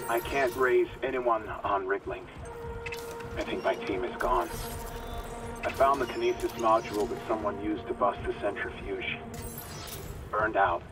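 An actor playing a weary, alarmed engineer on a mining spaceship, recording a dramatic audio log for a game. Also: male voice, on the low side, natural voice.

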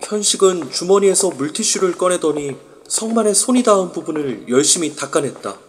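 A man's voice: high-pitched, lively intonation, fairly quick, slight background noise. A man narrating an amateur audio drama in an irritated inner monologue. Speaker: narrator and lead.

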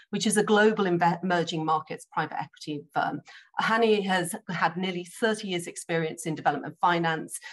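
A middle-aged woman chairs a panel over a video call. Her voice sits low and steady, polite and measured, with the odd um as she introduces guests and asks questions. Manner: polite, measured, with frequent ums. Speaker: moderator of a webinar panel. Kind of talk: hosting an online panel discussion. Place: home office, indoors.

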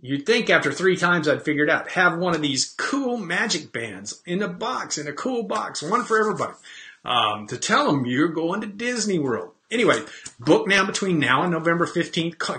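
A man in his fifties hosting a casual, rambling webcam chat from his home office, often clearing his throat. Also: a little high, pushed and bright. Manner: chatty, rambling, self-deprecating, frequent throat clearing. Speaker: host. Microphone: webcam at desk.

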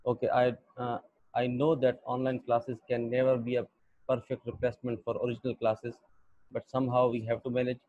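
A young Pakistani man with a Pakistani accent, lecturing an online English class in a halting way with many fillers. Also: medium pitch, monotone, dry recording. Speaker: English teacher. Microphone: computer mic on a video call. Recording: dull lo-fi video call audio.

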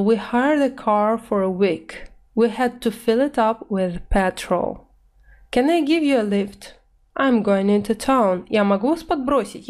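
A woman in her thirties teaching English vocabulary in an online lesson, explaining in Russian and reading English phrases slowly and clearly. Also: medium pitch, a little mellow. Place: indoor room, webcam in corner of slides.

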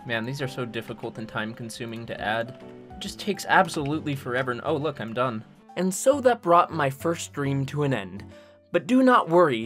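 A man's voice: medium pitch, fairly bright tone. A young man joking and chatting casually while he narrates and streams himself building a game level. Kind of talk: casual commentary with voice-over narration.

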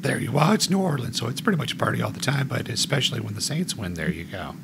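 A man in his forties with a gravelly voice, hosting a joking podcast chat. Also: mid-range voice, big pitch swings, fast, noisy background. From United States. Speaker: podcast host.

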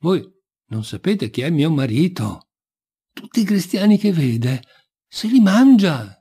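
A man's voice: fairly high pitch, sing-song, measured pace, dark tone, slightly rough, pitched above the performer's own voice, full character voice. A man voicing an ogre's young wife, brave, weary and protective, in a storybook reading. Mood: alarmed.

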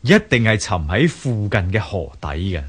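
An actor playing a learned, self-assured gentleman in a radio drama, reasoning deliberately. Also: lowish voice, expressive, slightly rough. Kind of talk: Cantonese radio drama acting.

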